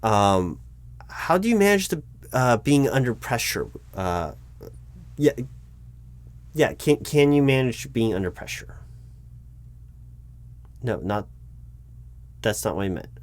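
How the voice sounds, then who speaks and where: A man playing a job interviewer in an ASMR roleplay, patient but baffled, with a dry, halting delivery full of restarts. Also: medium pitch, big pitch swings. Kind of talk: ASMR roleplay, spoken aloud, not whispered.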